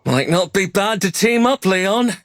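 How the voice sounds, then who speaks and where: A man's voice: sits high, lively intonation, measured pace, fairly bright tone. A man voicing a cocky, showy young battle trainer for a video game, confident and challenging.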